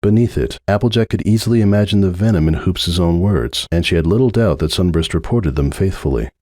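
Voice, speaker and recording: Low, mellow male story narrator. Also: a little fast, no echo, studio quality. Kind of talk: dramatic fan-fiction audiobook narration.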